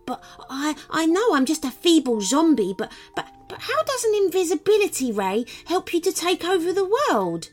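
A woman's voice: extremely high pitch, sing-song, moderate pace, few pauses, voice pushed up. A woman voicing a feeble, eager male zombie assistant who stammers and fawns in a children's storybook tale. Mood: puzzled, timid. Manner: stammering, servile, pleading. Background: music.

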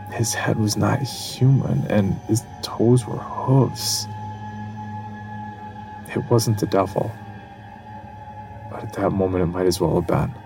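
Low, gravelly haunted man. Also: low-quality recording. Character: haunted man recounting his terror and descent into madness. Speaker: narrator of a horror audio drama. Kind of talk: first-person horror storytelling.

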